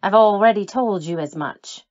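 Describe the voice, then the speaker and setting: A woman's voice: mid-range voice, moderate pace, dry recording, highs cut off, lightly acted. A woman acting a nervous, lovelorn scorned woman with a guilty secret in an old-time radio drama, coy and defensive.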